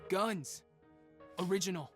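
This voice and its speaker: A man's voice: high voice, measured pace, over music. A man playing a cocky, witty young street rebel inventor in a video game, with a taunting, flippant manner. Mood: mocking.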